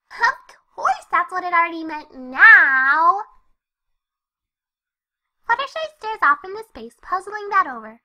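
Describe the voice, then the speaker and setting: Casting: an exuberant cartoon pony girl storyteller, extremely high and bright, animated delivery. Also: full character voice. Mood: excited. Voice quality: squeaky, girlish. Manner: exuberant, gushing. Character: bubbly excitable young female pony narrating. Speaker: fan fiction reader. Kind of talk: animated first-person story narration.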